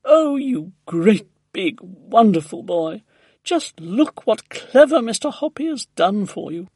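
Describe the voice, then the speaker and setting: A storybook narrator playing an excitable, doting lady who exclaims and gushes over her pet. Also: mid-range voice, measured pace, husky, no room sound, studio quality, pitched above the performer's own voice, heavily acted. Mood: elated, gushing. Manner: exclamatory, gushing. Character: excitable, gushing, doting middle-aged lady tortoise owner.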